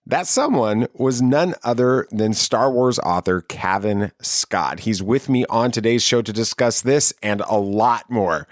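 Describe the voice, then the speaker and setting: A man's voice: medium pitch, expressive, a little slow, few pauses, dry recording, studio quality. A man hosting a podcast, delivering a scripted introduction and interviewing his guest.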